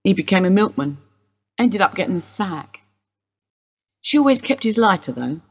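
A woman's voice: medium pitch, big pitch swings, normal speed, slightly rough. A woman acting a wistful widowed housewife questioned by police in a radio play, rambling through memories. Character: widowed English housewife, Mrs Steele, wistful suspect.

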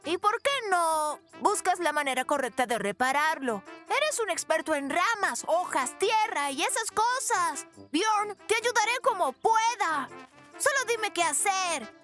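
Cartoon bear cub: tiny high voice, boastful little inventor, bouncy and animated, for a kids' dub. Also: a little slow, soft background music, heavily acted. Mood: concerned. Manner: exuberant, cocky, exclamatory. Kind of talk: dubbed children's cartoon acting.

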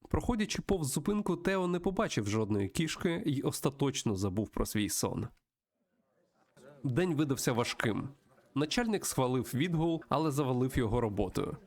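Gravelly man narrating a game. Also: mid-range voice, a little mellow. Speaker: host of a video game let's-play show. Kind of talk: reading a visual novel aloud with personal commentary.

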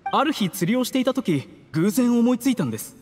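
A man's voice: extremely high pitch, sing-song, fairly quick, darkish tone, big-room sound, slight background noise. A man voicing a timid, deferential male poisoner in an anime video game, humble and polite.

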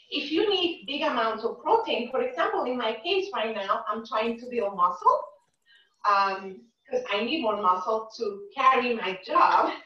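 A middle-aged woman chef explains each step of a dish as she cooks. Her voice sits a little high and keeps checking in with the viewers. She is recorded from across a home kitchen, so the sound is roomy, distant and dull. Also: pushed and bright. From Dominican and Cuban family. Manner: chatty, explains while she cooks, says "right?" often. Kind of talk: live cooking demonstration. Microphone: camera across the kitchen.

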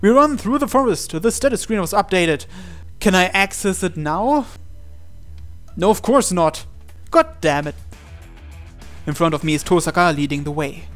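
High-pitched man narrating a visual novel. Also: lively intonation, moderate pace, fairly bright tone. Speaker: let's player reading a visual novel aloud. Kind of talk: dramatic read-aloud narration of on-screen text. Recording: music behind the voice.

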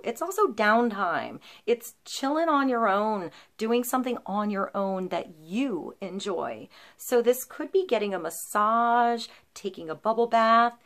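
A woman in her thirties doing an intuitive tarot reading to camera, flowing and reassuring, with frequent ums and likes. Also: mid-range voice. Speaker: tarot reader. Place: small indoor room, seated before a painted wooden backdrop.

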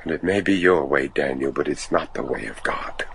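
A man's voice: a bass voice, normal speed. An actor playing a devout, anguished older father, a judge desperate to save his son, pleading earnestly and theatrically in a gothic horror radio drama. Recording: noisy radio drama recording.

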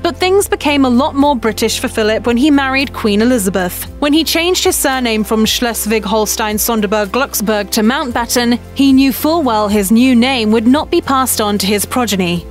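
A woman with a fairly high voice narrates a scripted documentary segment in a brisk, even way. Soft music runs faintly beneath her voice-over.

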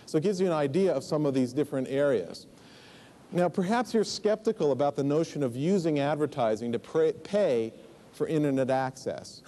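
Mellow-voiced man giving a keynote. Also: a little high, normal speed. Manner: conversational, explanatory, with asides and fillers. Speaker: keynote speaker. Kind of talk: conference keynote presentation. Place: large conference stage.

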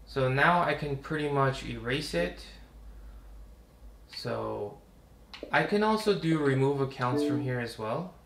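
A young man hosting a casual, relaxed tutorial, chatting as he works through steps in a living room. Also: medium pitch, slow, creaky. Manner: relaxed, chatty, talks while working. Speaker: tutorial host. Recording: roomy home recording with faint hum.

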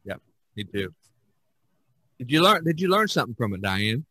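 An American man hosting a casual podcast, speaking in a somewhat halting way. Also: medium pitch, big pitch swings, pushed and bright, breathy edge, dry recording. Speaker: podcast host. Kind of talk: casual podcast talk with announcing.

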